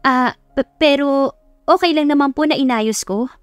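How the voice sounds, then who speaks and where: A woman's voice: sits high, normal speed, no room sound, faint music behind, pitched above the performer's own voice, natural voice. A woman voicing a shy, nervous young college woman in an audio love story, polite and hesitant.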